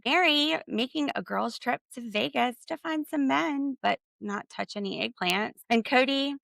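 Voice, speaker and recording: A woman's voice: high voice, unhurried, vocal fry, no room sound. A woman hosting a podcast and recapping reality TV with sassy, snarky commentary. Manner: snarky, sassy, animated asides. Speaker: podcast host.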